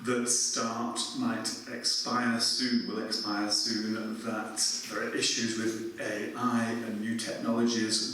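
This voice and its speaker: Courteous man calling out from an echoey hall. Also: lowish voice. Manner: formal and courteous, speaks loudly without the mic. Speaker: audience member asking a question. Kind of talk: audience question at a panel. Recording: echoey hall from far off, faint noise.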